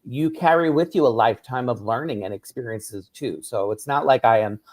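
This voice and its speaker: New author explaining eagerly. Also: male voice, mid-range voice, moderate pace, a little mellow. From New York. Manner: enthusiastic, explanatory, tags "right?". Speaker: author and drag performer, guest. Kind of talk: radio interview.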